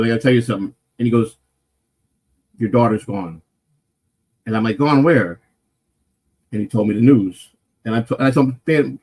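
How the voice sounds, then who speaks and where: A man with a naturally loud voice, hosting a casual morning live stream and rambling through a personal story with lots of swearing and restarts. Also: mid-range voice, breathy edge. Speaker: morning show host telling a personal story.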